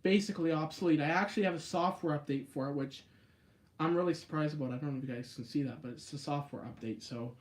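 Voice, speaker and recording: Man, live-stream host, casual rambling chat, pitch leaps on stressed words, slightly high voice, phone mic. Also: fast talker. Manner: chatty, rambling, with sudden upward pitch jumps on stressed words.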